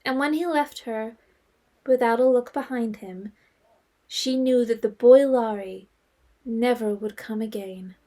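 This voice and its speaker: A woman's voice: fairly high pitch, unhurried, dark tone. A woman narrating an audio drama as a scripted literary story.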